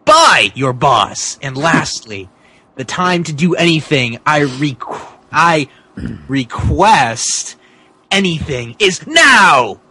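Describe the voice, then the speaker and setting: A man's voice: a little high, sing-song, bright, forceful tone, breathy edge, dry recording, voice pushed down, exaggerated voice. A man voicing an arrogant, scheming wrestling boss who gives imperious orders in a mock-dramatic story reading. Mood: domineering. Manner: imperious, drawn-out commands.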